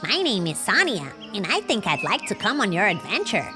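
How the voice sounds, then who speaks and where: A woman's voice: medium pitch, huge pitch swings, moderate pace. A woman voicing a sweet young duck. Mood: grateful. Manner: polite and eager. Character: grateful young female duck. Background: music.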